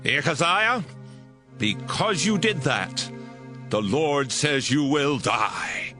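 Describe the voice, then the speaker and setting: An actor playing a stern, solemn Hebrew prophet in a dramatized audio Bible, speaking with emphatic, declamatory delivery. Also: male voice, a little high, lively intonation, takes it slowly, pushed and bright, soft background music, full character voice. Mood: stern, condemning.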